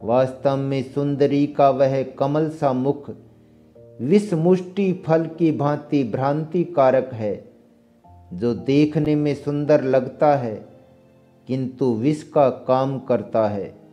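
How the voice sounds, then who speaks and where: Very slow, mellow male narrator. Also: medium pitch. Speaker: narrator reciting Sanskrit verses and explaining them. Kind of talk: scripted narration, verse recitation with prose explanation. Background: instrumental music.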